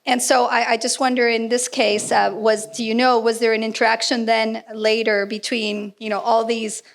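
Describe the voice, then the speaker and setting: Creaky Colombian-accented woman moderating. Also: fairly high pitch, flat delivery, normal speed. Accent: Colombian Spanish. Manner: searching, repeats words while asking. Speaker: panel moderator. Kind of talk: moderating a panel discussion.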